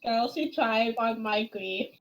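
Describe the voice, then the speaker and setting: A woman's voice: a little high, unhurried, a little hiss or hum, rough recording. A woman co-hosting a gaming video, reacting casually with emotional exclamations and joking remarks. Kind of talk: casual live reaction to a game. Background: game music and dialogue.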